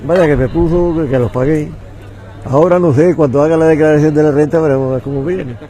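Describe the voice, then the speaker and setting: A man's voice: a little high, fairly even intonation, fast, dark tone, low-quality recording. An older man, a returned emigrant, recounting his working years in a plain, matter-of-fact way outdoors. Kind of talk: casual street chat.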